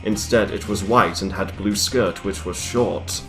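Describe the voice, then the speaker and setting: A man's voice: deep voice, measured pace, fairly bright tone, faint music behind. A man hosting a comic read-aloud of a fan story, narrating and breaking off with mocking asides. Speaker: reader, host. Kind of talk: comic dramatic reading.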